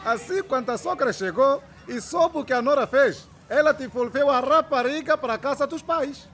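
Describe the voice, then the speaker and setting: A man's voice: very high-pitched, normal speed, a crowd in the background. A man playing an eager, idealistic trainee teacher in his twenties in a radio drama, with a Mozambican accent and an affectionate, playful manner. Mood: playful storytelling. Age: 20s.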